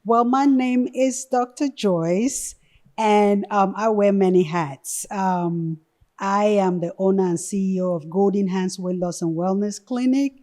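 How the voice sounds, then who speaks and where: A woman's voice: medium pitch, measured pace, dark tone. A middle-aged woman from Cameroon, a nurse practitioner speaking earnestly as a podcast guest, with lots of "you know" and "um". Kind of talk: podcast interview.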